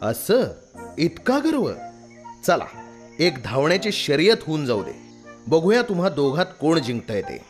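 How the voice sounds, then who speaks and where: A man voicing a wise, moralising animal judge in an animated children's story. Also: a little high, a little slow, music in the background. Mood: challenging.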